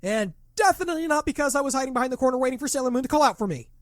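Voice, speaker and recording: A man's voice: very high voice, lively intonation, very fast, background noise. A man voicing a cocky, boastful masked superhero who talks like a bro, in a mock-heroic parody audio drama.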